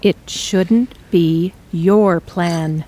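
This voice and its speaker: Actress doing a middle-aged mom, firm, blunt, slow and measured. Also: female voice, on the low side, background noise. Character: pushy practical middle-aged mother. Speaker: actor playing the mother. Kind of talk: audio drama acting.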